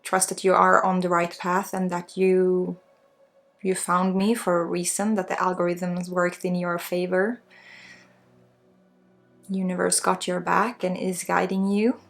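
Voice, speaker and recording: Woman, Swedish accent, ASMR spiritual guide. Gravelly creak, soft reassuring delivery, music behind. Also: on the low side, level tone, a little slow. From Sweden. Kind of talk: ASMR guided energy healing.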